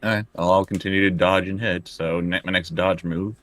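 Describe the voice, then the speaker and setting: Deep-voiced man playing a tabletop game. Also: steady tone, a little fast, a bit bright, no echo. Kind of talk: casual game chat.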